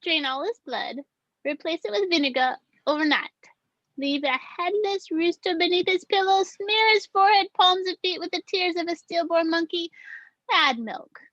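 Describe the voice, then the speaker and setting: Witchy old grandma, very high animated voice, theatrical and macabre, on muffled audio. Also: normal speed. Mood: ghoulishly instructive. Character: eccentric old witchy grandmother reading grisly folk remedies. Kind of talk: script table read, comedic character acting.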